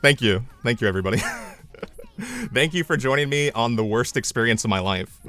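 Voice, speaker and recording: A young man chatting casually on his live stream, mocking himself and breaking into loud triumphant yells. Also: mid-range voice, fairly quick, faint music behind.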